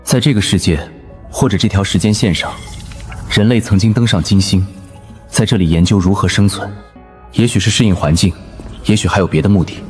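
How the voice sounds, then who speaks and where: A man as a deep, composed, deductive survivor. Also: fairly quick, soft background music, close to an everyday voice. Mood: grave, explaining. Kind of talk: animated drama dialogue, expository.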